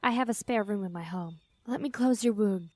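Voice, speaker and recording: A woman acting in a fantasy audio drama as a calm, firm mother and magical guardian, speaking seriously and deliberately. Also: medium pitch, close to an everyday voice. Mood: concerned.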